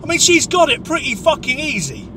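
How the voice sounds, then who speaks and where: High-voiced sardonic Englishman, noisy background. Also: wildly swooping pitch, brisk. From Norwich area, England. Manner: sweary, sardonic, blunt. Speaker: comic commentator. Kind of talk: opinionated comic rant.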